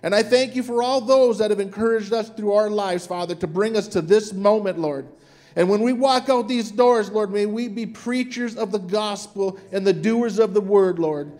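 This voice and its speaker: A man's voice: high-pitched, steady tone, normal speed, darkish tone. A man leading a fervent spoken prayer aloud in church, repeating "Lord" between phrases.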